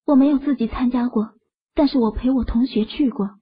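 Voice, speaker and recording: An actress voicing an anxious young woman adrift at sea in an audio drama, with a breathy, husky voice. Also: on the high side, fairly quick. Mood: puzzled. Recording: slightly dull lo-fi audio.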